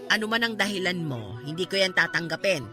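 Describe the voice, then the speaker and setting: A woman's voice: lowish voice, expressive, brisk, over music, natural voice. A woman with a Filipino accent acting in a radio drama as a caring, outspoken friend and mother, emphatic and coaxing. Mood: earnest persuasion.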